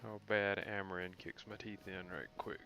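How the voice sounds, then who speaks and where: A man streaming a video game, thinking aloud in casual, muttered commentary with loud noise behind him. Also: low-pitched, little pitch movement, normal speed, vocal fry. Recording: loud background noise over the voice.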